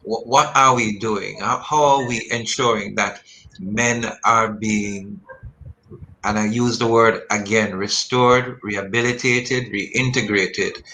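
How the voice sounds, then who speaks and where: A man hosting a radio talk show in the studio. His voice is bright and forceful as he asks earnest, probing questions. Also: on the low side, fairly even intonation, slow pace, rough recording. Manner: earnest, probing questions, reads listener messages.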